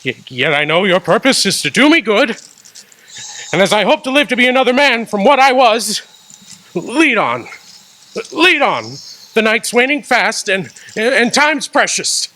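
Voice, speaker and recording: An actor playing a frightened old miser who stammers and pleads with a spirit in a radio drama. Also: male voice, sits high, very animated, a little slow, bright, forceful tone, heavily acted. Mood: fearful pleading. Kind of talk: amateur radio drama acting.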